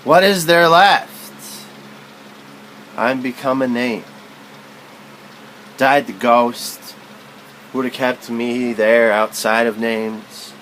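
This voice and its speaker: A man's voice: medium pitch, very slow, pauses often, fairly bright tone, a little hiss or hum, poor audio. A man reading literary prose aloud as spoken word, in a musing, philosophical manner.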